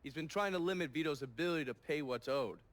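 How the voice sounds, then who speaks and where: An actor playing a shrewd, unsentimental informant in a video game, briefing in a dry, faintly sardonic way. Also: male voice, on the high side, fast talker, close to an everyday voice. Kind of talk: cinematic game dialogue.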